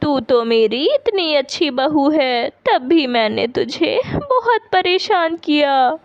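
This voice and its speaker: A woman's voice: high voice, big pitch swings, takes it slowly, no room sound, highs cut off, full character voice. A woman voicing a vain, bossy older Indian mother-in-law in a Hindi cartoon story, with a scolding, boastful manner. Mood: remorseful.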